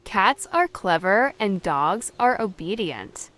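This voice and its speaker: A woman voicing an excitable, bossy storybook witch, with exclamatory, emphatic delivery. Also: medium pitch, takes it slowly, dry recording. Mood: pleased. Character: excitable witch, fussy and bossy pet owner.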